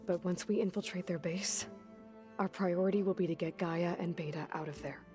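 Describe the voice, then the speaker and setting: A determined young huntress, voiced by an actress, calm and clipped, her voice sitting a little low. She speaks in short, resolute lines over clear game music. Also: fairly quick, natural voice. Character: determined young woman hunter, terse and focused. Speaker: game protagonist.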